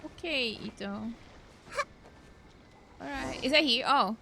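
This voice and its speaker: A woman's voice: high-pitched, takes it slowly, vocal fry, background noise. A young woman giving casual let's play commentary, thinking aloud in a giggly, self-deprecating way. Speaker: streamer playing a game. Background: game music.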